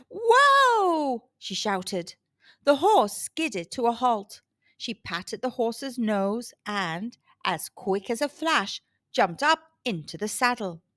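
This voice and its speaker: A woman storyteller narrating a picture book for children with dramatic, deliberate emphasis. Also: high-pitched, a bit bright, no echo, clean studio sound. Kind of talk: scripted storybook narration for kids.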